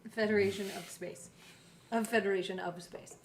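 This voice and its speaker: Creaky-voiced woman co-host, casual chat. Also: medium pitch, fairly even intonation, moderate pace, slight background noise, poor audio. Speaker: co-host and player. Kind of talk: casual chat, reading episode summaries aloud.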